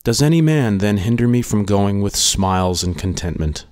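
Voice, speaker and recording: A man reading philosophy as a scripted dramatic monologue, in a measured, deliberate manner. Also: on the low side, animated, darkish tone. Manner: measured, deliberate delivery in a radio monologue style. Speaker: audiobook reader. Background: music.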